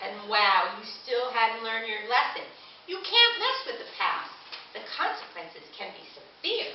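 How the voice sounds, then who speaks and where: A woman's voice: fairly high pitch, big pitch swings, unhurried. A woman playing a scolding, theatrical TV news interviewer in an amateur puppet show. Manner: theatrical, admonishing announcer delivery. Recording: echoey room from far off, muffled, hiss.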